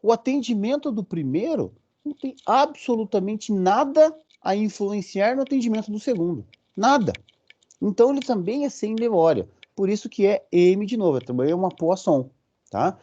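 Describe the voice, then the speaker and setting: Lively Brazilian man lecturing. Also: sits high, muffled recording. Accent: Brazilian Portuguese. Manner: explanatory, often checking in with a quick "right?" and filler sounds. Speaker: university lecturer. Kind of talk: recorded online lecture.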